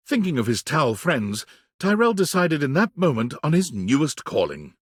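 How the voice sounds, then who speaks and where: A man giving scripted narration for a dating game, wry and deliberate, with dramatic pauses. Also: medium pitch, slightly rough, dry recording, studio quality.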